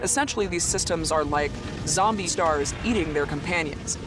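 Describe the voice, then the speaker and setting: A man's voice: fairly high pitch, normal speed, over music. A man explaining things conversationally as an expert in an interview.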